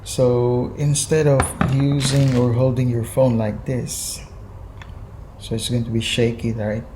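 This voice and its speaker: A man's voice: mid-range voice, little pitch movement, slow pace, noisy background. A Filipino man from the Visayas with a Visayan accent, hesitantly showing his filming gear at home and mixing in Tagalog. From Philippines, Visayas. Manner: hesitant, many ums and restarts, mixing in Tagalog. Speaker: vlogger. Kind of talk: casual gear show-and-tell. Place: indoors at home, kitchen. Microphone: phone camera on a chest mount.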